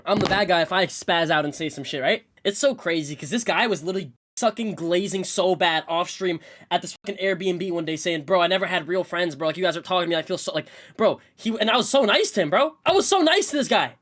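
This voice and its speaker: A young man on a livestream vents to his chat in a rapid, indignant rush, his voice leaping up and down as he repeats himself and swears. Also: sits high, animated, a bit bright. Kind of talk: venting to stream chat.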